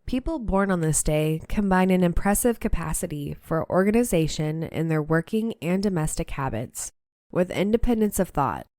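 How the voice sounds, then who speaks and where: A woman's voice: lowish voice, darkish tone, dry recording, clean studio sound. Chatty nasal woman podcast host. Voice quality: slightly nasal. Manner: laughs easily, chatty and upbeat. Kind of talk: casual podcast chat and reading aloud.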